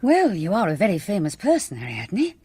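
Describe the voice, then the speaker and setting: An actress playing a composed, genteel middle-aged British village hostess in a radio drama, articulate and reserved. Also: female voice, mid-range voice, huge pitch swings, a little fast, mellow, slightly rough.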